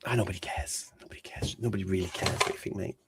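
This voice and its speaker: A man's voice: sits low, fast. A man hosting a casual live stream, reading out viewer questions and answering them bluntly. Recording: steady background noise.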